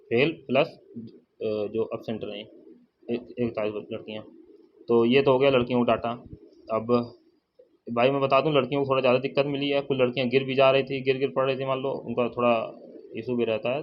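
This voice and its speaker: Flat-toned man, dull recording. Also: mid-range voice. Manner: informal, repetitive, addresses listeners as brother. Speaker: exam-update YouTuber and channel host. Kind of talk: casual informational update to viewers. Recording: dull lo-fi sound, faint noise, birds.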